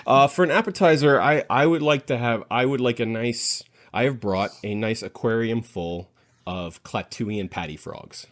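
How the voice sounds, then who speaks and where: A man's voice: mid-range voice, moderate pace. A man chatting casually and playfully as a co-host on a podcast panel, savoring his descriptions.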